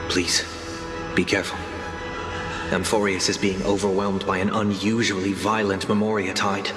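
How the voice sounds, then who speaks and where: Courteous, solemn figure in echo. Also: male voice, low-pitched, flat delivery, unhurried, pauses often. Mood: earnest, courteous. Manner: formal, polite, earnest. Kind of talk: video game voice acting. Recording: echoey hall reverb, music behind.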